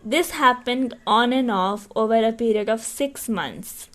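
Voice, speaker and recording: A woman's voice: a little high, unhurried, fairly bright tone. A woman co-hosting a podcast, chatting casually and reading a story, reacting with murmurs and laughs. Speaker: podcast co-host.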